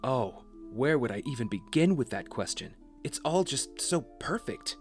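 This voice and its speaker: Audio drama: good-humored gentleman father, male actor, medium pitch, faint music bed. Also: big pitch swings, moderate pace. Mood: amused, fond.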